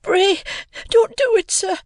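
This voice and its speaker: Storybook child, done by a man: little frightened boy, high creaky voice, meek and pleading, very deferential. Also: measured pace, fairly bright tone, no room sound, studio quality, exaggerated voice. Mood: terrified. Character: small, terrified, polite young orphan boy.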